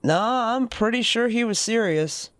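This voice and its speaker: A woman's voice: a little high, expressive, measured pace, dry recording. Woman acting a wry wasteland leader, close-miked. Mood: determined. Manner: casual, matter-of-fact. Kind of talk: post-apocalyptic audio drama acting.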